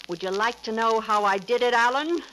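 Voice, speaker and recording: Actress playing a high-voiced melodramatic murderous beauty. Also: female voice, fairly quick, a bit bright, close to an everyday voice. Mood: cold, menacing. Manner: melodramatic, intense. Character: beautiful murderess, frantic wife with a homicidal mad streak. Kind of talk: 1940s radio acting. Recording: noisy old 1940s radio recording.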